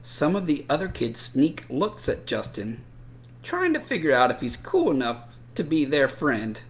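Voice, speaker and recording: Animated man reading a children's picture book. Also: fairly high pitch, slight background noise. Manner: expressive, drawn-out emphasis, acts out children's dialogue. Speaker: children's read-aloud storyteller.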